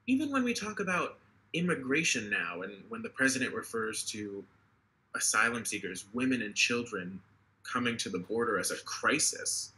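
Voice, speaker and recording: A man's voice: medium pitch, sing-song, moderate pace, pushed and bright, rough recording. A man in his twenties, an earnest political candidate speaking passionately on a video call, often restarting his sentences. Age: 20s. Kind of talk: interview over video call.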